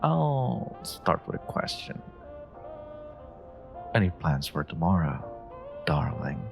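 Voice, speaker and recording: A man playing a flirty, charming stranger in an intimate romantic audio roleplay, with teasing, drawn-out, murmured lines. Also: low voice, very animated, gravelly, over music, full character voice. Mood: playful, flirty.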